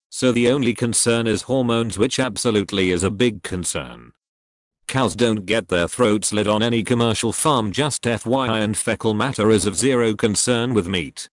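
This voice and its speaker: A man narrating, reading forum posts aloud in a steady, plain read-aloud manner. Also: lowish voice, no room sound, clean studio sound.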